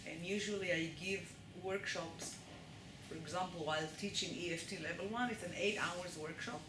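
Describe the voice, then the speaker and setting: A woman with an Israeli accent lectures to a live audience and keeps checking in with them as she goes. Her voice rings around an echoey room, with steady noise underneath. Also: fairly low pitch, normal speed.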